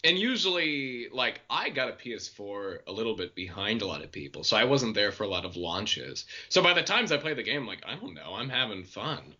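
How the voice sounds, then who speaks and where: Young male guest in his twenties: deep, bright-edged voice, easygoing and self-deprecating, dull lo-fi podcast audio. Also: brisk, hardly any pauses. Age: 20s. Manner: relaxed, self-deprecating, laughs easily. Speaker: voice actor guest. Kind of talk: casual podcast interview.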